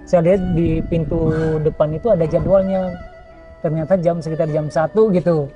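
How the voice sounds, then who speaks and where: A man, a traveling journalist telling stories in a relaxed interview, pausing often with fillers and restarts. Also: on the high side, lo-fi sound, soft background music. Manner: hesitant, many fillers and restarts.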